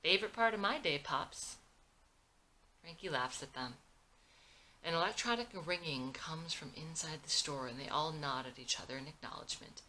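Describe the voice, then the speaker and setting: A woman's voice: deep voice, sharp tone, slight background noise, poor audio. A woman narrating screenplay stage directions as a scripted reading.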